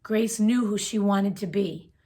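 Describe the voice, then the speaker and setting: A woman reading a children's picture book aloud in a gentle, expressive storytelling manner. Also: medium pitch, measured pace.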